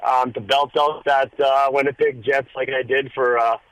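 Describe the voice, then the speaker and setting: A hoarse man calling in to a radio show, rambling with many fillers. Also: mid-range voice, little pitch movement, moderate pace, fairly bright tone, poor audio. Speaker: caller. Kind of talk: casual call-in chat.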